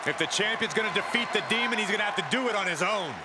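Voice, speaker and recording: An actor playing an excitable, emphatic wrestling announcer calling the action in a video game. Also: male voice, high-pitched, very fast, a loud crowd, lightly acted. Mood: excited. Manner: dramatic, emphatic. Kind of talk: wrestling commentary. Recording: loud arena noise with slams.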